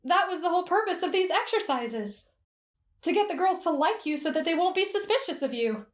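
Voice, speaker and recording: A woman playing a bright etiquette teacher. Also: very high-pitched, expressive, a little fast, reverberant. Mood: warm. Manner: bright, instructive, teasing. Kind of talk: ASMR roleplay.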